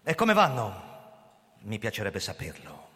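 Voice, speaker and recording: Low-voiced ageing agency chief, reverberant hall. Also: male voice, wildly swooping pitch, unhurried, a bit bright, heavily acted. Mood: guarded, defensive. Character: proud, defensive ageing male founder of a crime-prevention agency, narrating. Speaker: actor narrating and playing the lead. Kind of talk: theatrical radio drama with first-person narration. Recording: long hall reverb, music underneath. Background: music.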